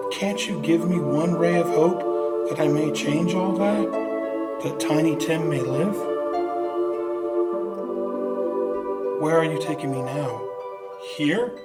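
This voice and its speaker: A man plays an old miser in a radio drama. He speaks slowly and haltingly, in a fairly high theatrical voice. He sounds a little distant, with loud music behind him. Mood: anguished.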